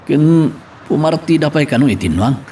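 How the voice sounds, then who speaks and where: A man's voice: a little high, expressive, normal speed, dark tone, close to an everyday voice. A man playing an easygoing, practical villager in an audio drama, talking matter-of-factly. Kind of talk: Ilocano audio drama acting. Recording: faint background noise.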